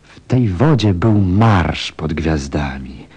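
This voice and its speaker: A tender, reflective male pilot in a radio play. His low voice moves slowly and with wide, expressive swings of pitch, full of thought and feeling. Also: slightly rough, heavily acted. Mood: moved, reverent. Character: tender, thoughtful stranded pilot, a grown man. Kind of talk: radio play acting and first-person narration.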